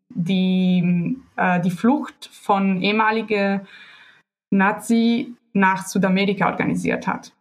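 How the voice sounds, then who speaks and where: Woman, talks slow, lots of umms, guest on a chat podcast. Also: mid-range voice. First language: Italian. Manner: hesitant, searching for words with many fillers. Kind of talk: casual conversation.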